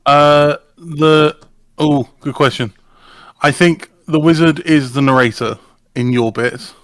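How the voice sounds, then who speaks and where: A man's voice: on the high side, steady tone, takes it slowly, a bit bright. A man chatting and bantering casually with fellow performers on a livestream. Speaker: podcast writer-performer on a livestream.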